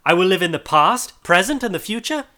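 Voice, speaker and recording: A trembling old miser pleads in a strained, quavering voice that climbs high. A man acts him out in an audiobook. His speech swings widely in pitch, with a sharp, bright edge. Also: lively intonation, moderate pace. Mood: imploring. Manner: emotional, theatrical delivery. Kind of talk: audiobook character voice.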